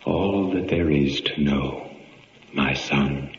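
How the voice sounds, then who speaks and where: An actor playing a solemn, saintly ambulance man in an old radio drama, speaking with grave, measured, preacherly oratory and fatherly wisdom. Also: rumbling low, a little hiss or hum. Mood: compassionate. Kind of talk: 1940s radio drama acting. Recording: muffled old-time radio recording, faint music.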